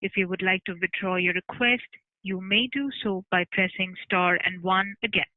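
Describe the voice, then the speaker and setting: A woman's voice: medium pitch, steady tone, moderate pace, no echo. A woman making formal, scripted announcements as a conference call operator. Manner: formal, procedural. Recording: dry narrowband telephone line.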